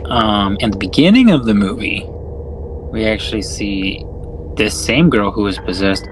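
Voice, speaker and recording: A man's voice: fairly low pitch, animated, normal speed, background noise. A man co-hosting a casual film discussion podcast, slangy, profane and self-deprecating. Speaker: podcast co-host recapping a film.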